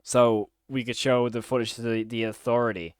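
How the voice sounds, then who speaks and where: A man hosting a commentary video, giving opinionated criticism, leaning on "of course" and "in my opinion" and turning loud and incredulous when he rants. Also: mid-range voice, little pitch movement, normal speed, smooth, no room sound, clean studio sound. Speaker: host and reviewer.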